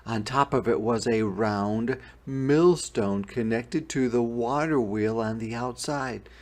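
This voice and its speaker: A man narrating a children's picture book in a deliberate, expressive storytelling manner. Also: mid-range voice. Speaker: storybook reader. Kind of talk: scripted children's read-aloud narration.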